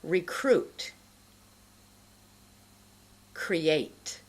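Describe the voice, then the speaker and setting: A middle-aged woman giving an instructional pronunciation lesson to camera, enunciating deliberately and carefully. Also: low-pitched, lively intonation. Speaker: pronunciation teacher.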